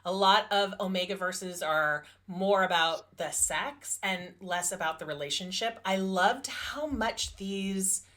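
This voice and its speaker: A woman's voice: medium pitch, a little slow, few pauses, fairly bright tone. A woman co-hosting a casual book review podcast, talking informally and with emphasis. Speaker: podcast co-host reviewing romance novels.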